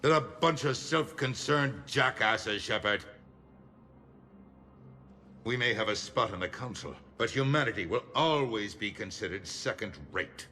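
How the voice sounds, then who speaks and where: A man playing a proud, insistent human politician in a video game, forceful and oratorical. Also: lowish voice, expressive, a little slow, a little hiss or hum, exaggerated voice. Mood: indignant. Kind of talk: dramatic video game acting. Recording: echoing hall, faint background ambience.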